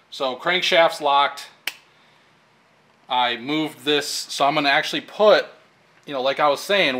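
A man's voice: mid-range voice, bright, forceful tone, slight background noise. Casual young man explaining engine work. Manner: casual, explanatory, frequent fillers while working. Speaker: engine shop technician. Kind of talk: hands-on how-to demonstration. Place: engine workshop with pegboard wall, indoors.

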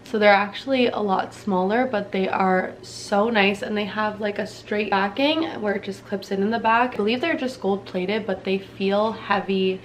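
A woman's voice: mid-range voice, moderate pace, hardly any pauses, a little hiss or hum. A woman in her twenties from Canada, chatting casually to camera in a bubbly, slangy way in a small dim room. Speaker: beauty vlogger.

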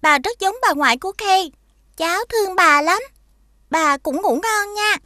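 A woman voicing a sweet, earnest young princess in an animated fairy tale, childlike and polite. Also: very high voice, unhurried, no room sound, studio quality, exaggerated voice. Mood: affectionate.

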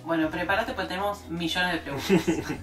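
A woman's voice: lowish voice, steady tone, brisk, a bit bright, roomy sound, recorded from a distance, faint music behind. A woman with an Argentine accent who co-hosts a vlog and interviews a guest, speaking in a friendly way with laughing asides.

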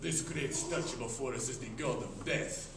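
A man voicing a noble, gruff lion-man prince with solemn, archaic speech in a radio-serial spoof. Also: medium pitch, moderate pace, voice pushed down, natural voice. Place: classroom, indoors. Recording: echoey classroom, distant noisy camera.

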